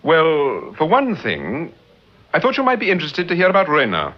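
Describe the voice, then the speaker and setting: An actor playing a suave, unflappable middle-aged detective with a Received Pronunciation accent in a mid-century radio drama, urbane and reassuring. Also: male voice, on the high side, wildly swooping pitch, normal speed. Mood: polite, measured. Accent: English Received Pronunciation. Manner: urbane, polite, reassuring, understated. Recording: dull, muffled vintage radio recording.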